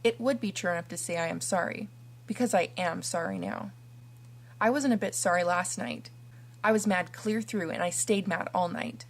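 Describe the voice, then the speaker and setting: A woman's voice: fairly low pitch, normal speed. A woman voicing an imaginative, dramatic orphan girl who talks earnestly and theatrically. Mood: thoughtful.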